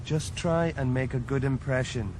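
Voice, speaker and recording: Guy doing a fed-up lawyer, brisk and impatient, mid-pitched. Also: background noise, lightly acted. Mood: exasperated. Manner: brisk and exasperated. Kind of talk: 1980s radio drama acting.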